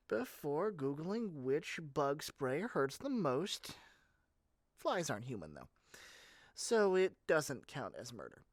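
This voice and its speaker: A performer plays an overeager salesperson in a scripted ASMR roleplay, talking straight to the listener. The androgynous voice sits at a middling pitch. It rambles breezily through menacing details as if they were nothing, in a dry recording with no room sound. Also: dry recording. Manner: rambling, breezily matter-of-fact about menace. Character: eager, chatty salesperson matchmaking dangerous obsessive lovers.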